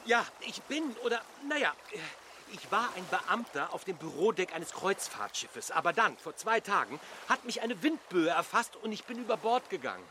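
An actor playing a timid, polite ship's clerk, stammering and easily alarmed, in a children's radio play. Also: male voice, high voice, big pitch swings, moderate pace, sharp tone, a little hiss or hum, exaggerated voice. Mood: sheepish.

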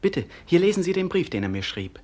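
A man's voice: sits high, big pitch swings, a little fast, a little mellow. A male actor in a German radio play, playing a cheeky but polite teenage messenger boy on the defensive.

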